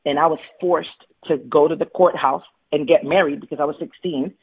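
A woman's voice: sits low, fairly even intonation, fairly quick, slightly rough. A woman in her forties from Florida, earnestly telling her life story as an interview guest. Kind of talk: candid personal storytelling. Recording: tinny phone-like line.

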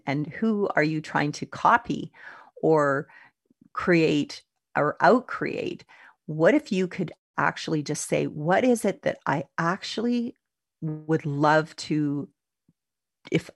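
A woman speaking as a life coach, leading a calm, reflective self-help talk and often repeating her words. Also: deep voice, vocal fry, no room sound, clean studio sound.

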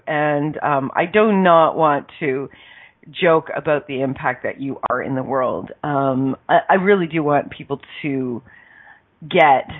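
An effusive woman host gushes and laughs as she interviews her guest. Her voice comes over a tinny, phone-like line. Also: low-pitched. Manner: effusive, gushing, laughs often. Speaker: podcast host. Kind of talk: casual interview chat.

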